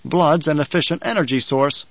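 A man voicing a calm male ecologist who lectures with quiet menace. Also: fairly high pitch, no echo, muffled recording. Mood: lecturing. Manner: lecturing, measured.

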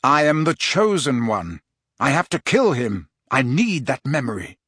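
Guy reading as a quiet, determined teen wizard boy, steady, a bit husky. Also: medium pitch, unhurried, dry recording, studio quality, exaggerated voice. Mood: pressing.

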